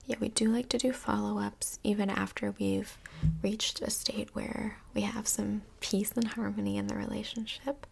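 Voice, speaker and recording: Woman acting a whispery, creaky, gentle female counselor. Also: mid-range voice, level tone, normal speed. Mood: gently tactful. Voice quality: breathy, creaky soft voice. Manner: whispery, gentle, hesitant, reassuring. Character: kindly, tactful female couples' counselor in Hell. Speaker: relationship counselor in a role play. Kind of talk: soft-spoken ASMR role play.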